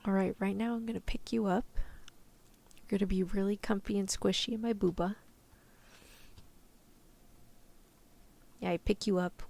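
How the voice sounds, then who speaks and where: A woman's voice: medium pitch, gravelly, a little mellow, close to an everyday voice. A woman playing a tough, protective, easygoing rescuer in an ASMR roleplay, talking in casual slang. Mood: soft, soothing. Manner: casual slangy reassurance, turning threatening.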